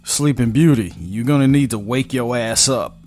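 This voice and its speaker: A man voicing a gruff, foul-mouthed cyborg ex-athlete and reluctant hero in a sci-fi audio story, with music underneath. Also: medium pitch, moderate pace, a little mellow, natural voice. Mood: gruff sarcasm.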